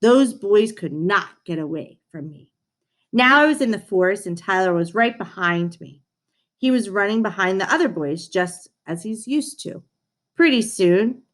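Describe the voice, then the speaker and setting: Female storybook reader, unhurried pace, mid pitch, expressive delivery for children. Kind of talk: animated read-aloud of a children's storybook.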